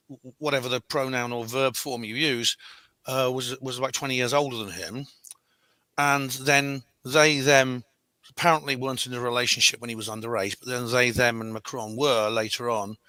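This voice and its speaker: A hoarse man with a lingering cold, talking in a halting, wry way on a casual chat show, often correcting himself. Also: mid-range voice, sharp tone, dry recording, poor audio.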